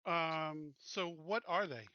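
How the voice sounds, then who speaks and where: A man's voice: a little high, slow pace, sharp tone, no echo, lo-fi sound. A man chatting casually as a player in a tabletop roleplaying game session.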